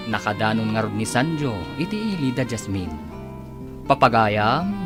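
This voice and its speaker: Animated Filipino male radio-drama announcer. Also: medium pitch. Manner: theatrical, drawn-out emphasis. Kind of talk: dramatic scripted radio narration. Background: music.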